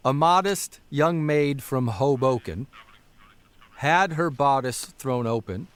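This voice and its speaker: An actor playing a harried, wry 1930s newspaperman, with a folksy, blustery, halting manner in a period radio drama. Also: medium pitch, expressive, clear, resonant tone. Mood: amused, jovial.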